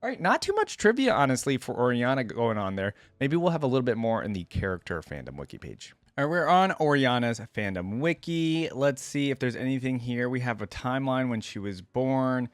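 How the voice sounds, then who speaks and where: A man's voice: mid-range voice, big pitch swings, fairly quick, hardly any pauses, dry recording. A man hosting a reaction video, commenting casually and conversationally on game skins as he thinks aloud.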